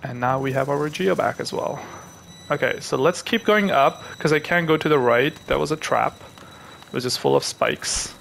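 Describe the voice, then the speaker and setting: A young man from Pakistan, hosting a gaming channel, who chats to viewers and thinks aloud as he plays, with excitable reactions. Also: on the high side, normal speed, vocal fry, slight background noise, rough recording. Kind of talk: live gameplay commentary.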